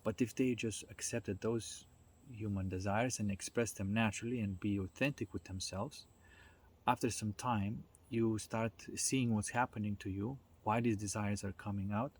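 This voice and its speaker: A man giving an informal explanatory monologue, haltingly searching for his words as he goes. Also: on the low side, fairly even intonation, slight background noise. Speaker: speaker on self-development.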